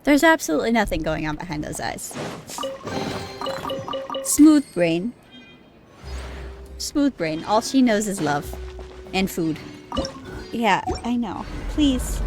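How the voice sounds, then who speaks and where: A woman's voice: on the high side, very animated, slow, long pauses. A woman live streamer chatting casually as she plays a video game, rambling, giggly and free with swearing. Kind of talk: casual gameplay stream chat. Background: game music.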